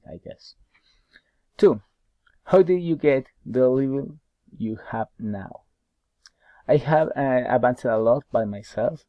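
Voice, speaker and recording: A young man whose first language is Spanish works through questions in English, pausing and repeating words as he searches for them. His voice is warm and mellow, with a Spanish accent. The recording is dry and close, with no room echo. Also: mid-range voice. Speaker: university English student. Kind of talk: answering study questions aloud.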